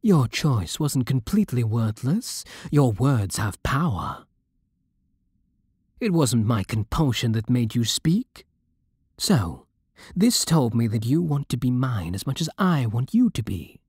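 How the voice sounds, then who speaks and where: Guy doing a british vampire king for asmr, super breathy n mellow, bossy and possessive, close dry sound. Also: mid-range voice, sing-song, slow pace, no room sound, full character voice. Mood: possessive, smug. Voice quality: breathy, hushed. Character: possessive, commanding vampire king, seductive and imperious. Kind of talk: intimate ASMR roleplay.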